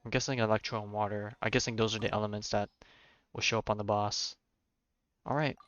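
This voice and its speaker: Low-voiced man streaming a video game. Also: little pitch movement, brisk, a bit bright, dry recording, highs cut off. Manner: informal, thinking aloud. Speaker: game livestreamer. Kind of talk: casual playthrough commentary, reading game text aloud.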